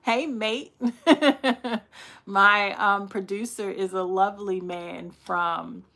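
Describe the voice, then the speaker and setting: A woman hosts a casual livestream, her voice raspy as she gets over a flu. She talks slowly and unhurriedly, with laughs and asides. She greets her viewers by name as she chats. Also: mid-range voice, fairly even intonation, a bit bright. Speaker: livestream host.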